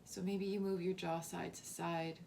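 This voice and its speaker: A woman leading a guided body scan meditation as a teacher, softly and calmly, with unhurried phrasing and long pauses. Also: on the low side, gravelly, a little hiss or hum. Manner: soft, unhurried, calming instruction with long pauses.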